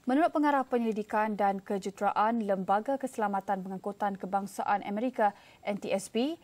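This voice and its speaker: A Malaysian woman narrates a news report from a script. Her voice sits at a middle pitch for a woman. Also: a little mellow.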